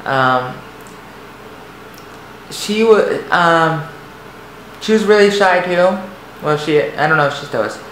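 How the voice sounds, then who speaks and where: Young man, light soft voice, halting and rambling confessional delivery, recorded in a roomy space with faint background hiss. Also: a little high, animated. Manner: hesitant, rambling, many restarts and fillers. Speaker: vlogger. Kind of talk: personal storytelling to camera. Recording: echoey room, faint noise.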